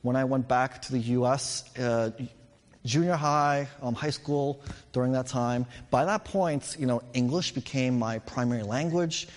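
A man's voice: mid-range voice, measured pace, long reverb tail. A middle-aged man with a New York accent, preaching a sermon as pastor and explaining with rising and falling emphasis.

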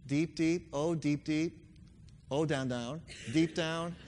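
A young man preaching a sermon with a hoarse voice, earnestly leading his congregation in song. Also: a little high, steady tone, takes it slowly, darkish tone, slight background noise. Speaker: pastor. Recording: long reverb hall.

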